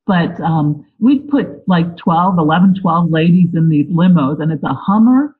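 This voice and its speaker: A woman's voice: fairly low pitch, animated, measured pace. Chatty woman on a tinny line. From Lebanon, Pennsylvania. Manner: chatty, storytelling with laughs. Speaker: volunteer guest. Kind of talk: casual interview.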